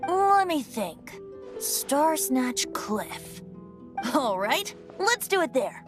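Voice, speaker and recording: A woman's voice: high voice, sing-song, very slow, music in the background, heavily acted. A woman voicing a youthful, playful male bard in a video game, with a lilting, theatrical manner. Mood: musing, playful. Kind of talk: video game character acting.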